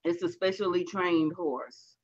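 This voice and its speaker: A man with a fairly high voice talks through a book in a casual club chat. He halts often and repeats his phrases, padding them with fillers. The sound is dull and dry, like lo-fi call audio. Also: steady tone, a little slow, no echo.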